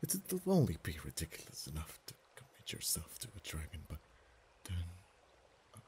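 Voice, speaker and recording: A man voicing a proud, haughty male dragon in an ASMR romance roleplay, speaking softly with whispered and stammering stretches. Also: a bass voice, wildly swooping pitch, a little hiss or hum, exaggerated voice. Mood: tender. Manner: soft-spoken, partly whispered, halting stammers.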